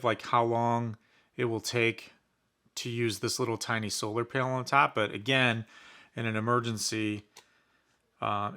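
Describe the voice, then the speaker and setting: A man's voice: medium pitch, bright, forceful tone. A man giving casual, conversational commentary as he reviews a product in an unboxing. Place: indoors in a basement.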